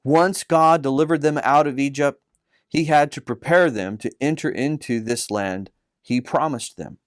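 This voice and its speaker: A kindly, patient uncle voiced by a man reading a storybook explains things to children at a middling pitch. The sound is dry, with next to no room on it. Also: normal speed, no room sound, natural voice. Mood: patient, teasing. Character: kindly uncle telling Bible history to children.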